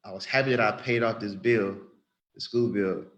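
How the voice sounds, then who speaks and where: Man, about thirty, slightly low voice, earnest church testimony, rambling, repeats himself. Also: steady tone, moderate pace, fairly bright tone, highs cut off. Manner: earnest, rambling, with frequent restarts and repetition. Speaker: church member giving testimony. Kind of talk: spontaneous spoken testimony.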